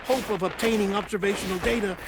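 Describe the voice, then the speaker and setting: A man playing an eccentric scientist delivering a recorded message as a theatrical monologue in a game cutscene, deliberate with sudden flourishes. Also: sits high, steady tone, very noisy. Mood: explanatory, dramatic.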